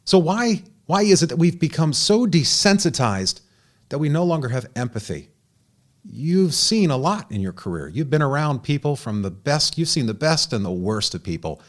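A man's voice: fairly high pitch, sing-song, normal speed. A man hosting a studio interview, reading a guest's bio and then asking earnest questions with frequent restarts. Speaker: host.

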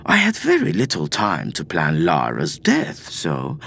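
An eccentric schemer in an audio comedy. The voice is extremely low and gravelly, formal and deadpan, then leaps wildly upward in bafflement. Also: female voice, measured pace, exaggerated voice. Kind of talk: comedy audio drama acting.

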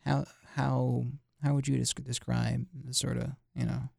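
Gravelly podcast host, halting questions. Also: male voice, medium pitch, flat delivery, darkish tone, no room sound, clean studio sound. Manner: halting questions with many restarts. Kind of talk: casual interview conversation.

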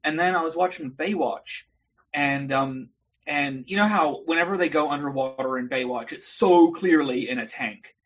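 Husky man telling wry rambling stories. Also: a little high, normal speed, a bit bright, lo-fi sound. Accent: mostly American, little of his New Zealand accent left. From New Zealand. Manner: rambling, wry storyteller with comic asides. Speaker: guest creator. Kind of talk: casual video call chat.

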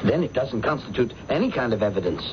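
An actor playing an earnest young research aide under suspicion in a radio mystery drama. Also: male voice, medium pitch, big pitch swings, quick pace, natural voice. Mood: defensive. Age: young adult. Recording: muffled old radio broadcast.

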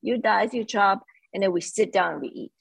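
Husky-voiced woman. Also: medium pitch, fairly quick, no echo. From Malaysia. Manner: earnest, animated, slightly non-native English grammar. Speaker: guest, company founder. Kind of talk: casual interview.

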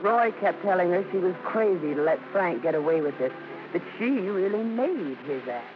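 Fond daughter narrator played by a woman, American, animated, telling a tale over music in a vintage radio play. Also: lowish voice, moderate pace, natural voice. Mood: fond, storytelling. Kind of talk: storytelling narration in old radio drama. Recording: muffled old radio, music behind.

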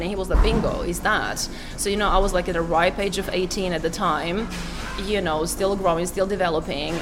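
A woman from Croatia is chatting through an interview in a lowish voice, stumbling over restarts and leaning on "you know." Music plays clearly behind her throughout. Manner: halting, many fillers and restarts. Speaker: interviewee, cafe business owner. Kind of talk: casual interview chat.

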